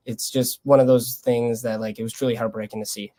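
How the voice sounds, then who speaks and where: A man's voice: medium pitch, flat delivery, fairly quick, warm tone. A young man with a Canadian accent answering casually as an interviewed athlete, earnest and loosely conversational. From Lethbridge, Canada. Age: young adult. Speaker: college baseball player being interviewed.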